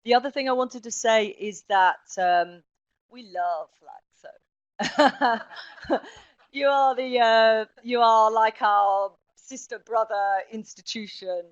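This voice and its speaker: A woman's voice: a little high, slow, no echo. A woman presents at an academic conference in a friendly manner, talking straight to the audience. Her voice is close and dry. The sound is a little muffled. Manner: friendly, talks directly to the audience. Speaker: academic speaker.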